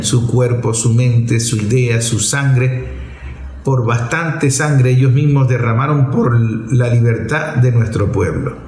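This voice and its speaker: A man's voice: medium pitch, darkish tone, roomy sound. A man with a Venezuelan accent delivers a political commentary monologue as a show host, explaining didactically in short, emphatic, repeated phrases.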